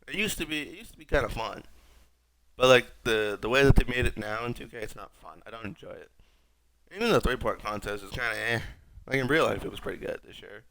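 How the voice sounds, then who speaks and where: A young man with a raspy voice, sick, rambling wryly through casual gameplay commentary as a streamer. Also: mid-range voice, expressive, a little fast, fairly bright tone, poor audio. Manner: rambling, wry, self-mocking. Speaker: gaming streamer.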